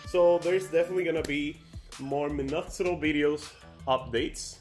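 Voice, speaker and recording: A man's voice: a little high, fairly even intonation, moderate pace, darkish tone, soft background music. Young man with a Dominican accent. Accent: Dominican Spanish. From Dominican Republic. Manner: rambling, many fillers and restarts. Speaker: YouTuber. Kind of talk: casual sit-down chat to camera.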